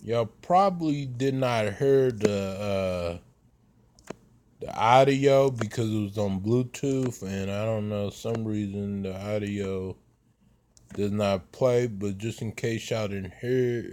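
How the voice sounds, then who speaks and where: A man, giving casual commentary in a slow, halting way with many fillers. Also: lowish voice, darkish tone. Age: 30s.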